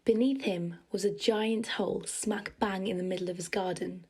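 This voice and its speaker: A woman's voice: medium pitch, steady tone, normal speed, darkish tone, husky. A woman reading scripted narration for a story, as its narrator. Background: music.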